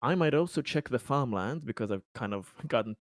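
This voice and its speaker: A man playing a tabletop roleplaying game, improvising his character's actions in a halting way, searching for words. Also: mid-range voice, animated, no room sound, clean studio sound.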